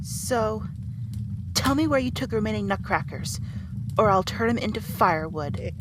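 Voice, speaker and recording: A woman's voice: mid-range voice, lively intonation, moderate pace, noisy background, natural voice. A woman playing a small, defiant rat princess warrior in an audio roleplay, brash and threatening but easily flustered. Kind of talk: dramatic audio roleplay acting. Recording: music behind the voice.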